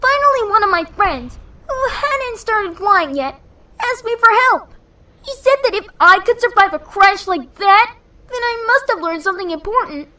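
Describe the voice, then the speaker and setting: A woman voicing a brash, proud young female pegasus pony who coaches and encourages in an animated story reading. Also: extremely high pitch, normal speed, heavily acted. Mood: earnest, reflective. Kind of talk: animated fan fiction audio reading.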